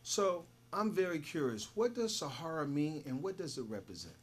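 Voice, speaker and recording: A man's voice: a little high, big pitch swings, normal speed. A man hosting a business talk show, giving formal, announcer-like introductions to his guests.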